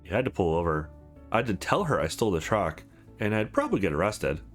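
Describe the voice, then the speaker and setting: A man's voice: deep voice, very animated, fast, faint music behind. A man voicing a streetwise young woman driver in a visual novel, frank, scrappy and matter-of-fact. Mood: fond, matter-of-fact.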